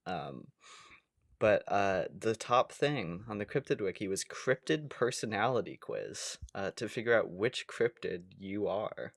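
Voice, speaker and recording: A man's voice: fairly low pitch, big pitch swings, measured pace, gravelly, no room sound. Dry-recorded man, rambling podcast banter. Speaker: podcast co-host. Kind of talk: casual comedic banter.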